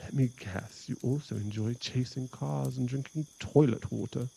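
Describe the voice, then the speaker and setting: A man's voice: medium pitch, moderate pace, creaky, dark tone, a little hiss or hum, low-quality recording. A man voicing a possessive, condescending vampire in an ASMR boyfriend roleplay, mocking one moment and sweet-talking the next. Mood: sarcastic.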